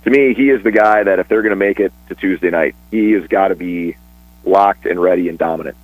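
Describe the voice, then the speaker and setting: A man talking casually on a radio sports show, chatty and a bit rambling, with restarts and quick laughs. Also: low voice, dry recording, low-quality recording. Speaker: sports broadcaster guest.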